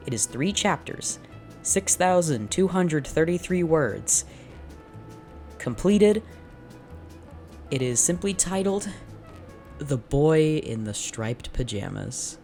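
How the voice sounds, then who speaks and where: A woman's voice: deep voice, very animated, slow, long pauses, warm tone, faint music behind. A woman hosting her channel, reading fanfiction aloud unscripted and reacting as she goes, in a sardonic, dramatic way.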